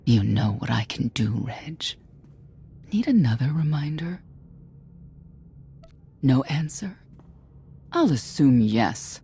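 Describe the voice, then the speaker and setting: An actress playing a hardened, menacing underworld guide with a very raspy voice and a clipped, dry delivery in a video game. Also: female voice, rumbling low, big pitch swings, slow, long pauses, dark tone, slight background noise, full character voice. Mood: quietly threatening. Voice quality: very husky, raspy.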